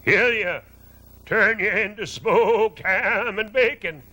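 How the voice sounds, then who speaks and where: A blunt old sheep delivers grim news slowly and flatly, as a woman voices her. She sounds gloomy and unhurried. It is a storybook read-aloud. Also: mid-range voice. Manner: blunt, slow, bearing bad news.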